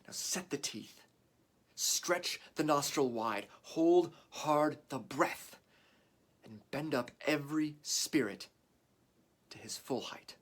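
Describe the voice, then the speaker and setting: An actor playing a warrior English king rousing his troops in a declamatory, deliberate Shakespearean stage monologue. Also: fairly high pitch. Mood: fierce, urging. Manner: declamatory, deliberate, swinging from hushed to shouted.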